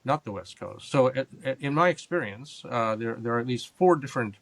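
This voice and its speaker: A man with an American accent speaks at a middle pitch in a dry, close recording. He explains himself carefully and reflectively, pausing and feeling his way through each answer. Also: expressive, gravelly, no echo. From Japan and California. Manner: measured, halting, explanatory. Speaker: interview guest, government and business leader.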